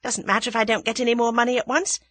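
A woman voicing a bold, impulsive young English woman in a dramatised audiobook, bright and emphatic. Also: on the high side, flat delivery, very fast, dry recording, pitched above the performer's own voice. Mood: bossy, eager. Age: young adult.

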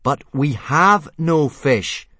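A man's voice: medium pitch, expressive, a little fast, darkish tone, breathy edge, no room sound, voice pushed up. A man voicing an earnest, awkward and often exasperated young Viking boy in a children's audiobook. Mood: firm, exasperated.

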